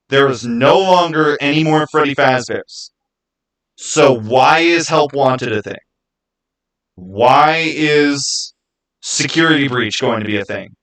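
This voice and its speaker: Brief: male streamer, slightly high voice, casual live talk on game lore, emphatic and repetitive. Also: a bit bright, poor audio.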